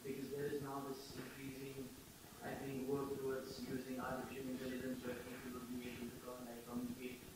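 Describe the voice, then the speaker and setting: A man from Nepal asking a question from the audience in an earnest, slightly halting way. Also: medium pitch, monotone. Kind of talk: question from the floor. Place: lecture room audience. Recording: distant echoey hall with noise.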